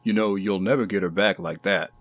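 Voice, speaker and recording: Male actor as a low-voiced, deadpan bodyguard. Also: a little fast. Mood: sardonic. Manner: deadpan, laconic. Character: dry, teasing male bodyguard. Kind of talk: audio drama dialogue.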